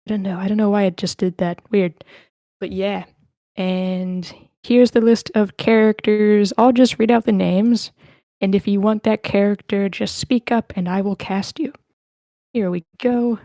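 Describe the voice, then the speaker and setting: Woman, online event host, casual, chatty, informal, medium pitch, dry recording. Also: dark tone, dry recording. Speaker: host of online script table reads. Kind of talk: casual chat, organizing a cast.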